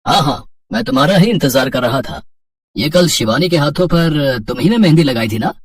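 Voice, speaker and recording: A man's voice: a little high, animated, a little fast, distant mic, lightly acted. A man playing a courteous, appreciative factory owner in a story, confident and businesslike.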